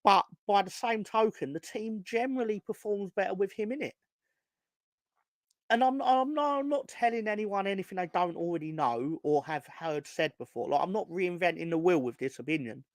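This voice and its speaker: A hoarse man ranting on a casual football fan podcast, swearing and rambling with many restarts. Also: high-pitched, moderate pace, no room sound, clean studio sound. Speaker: podcast co-host.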